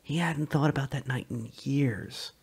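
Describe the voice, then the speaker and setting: Gravelly husky male storyteller. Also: medium pitch, a little slow, darkish tone. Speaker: narrator. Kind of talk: dramatic reading of a short story.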